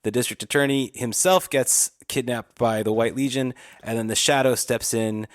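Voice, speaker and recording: A man's voice: medium pitch, fairly even intonation, moderate pace, no room sound, clean studio sound. A man, a podcast host giving a casual, rambling recap.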